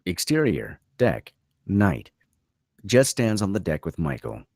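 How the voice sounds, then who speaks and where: Very deep, gravelly male narrator. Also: huge pitch swings, slow pace, a little mellow, dry recording, clean studio sound. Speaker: narrator reading stage directions. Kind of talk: scripted narration at a table read.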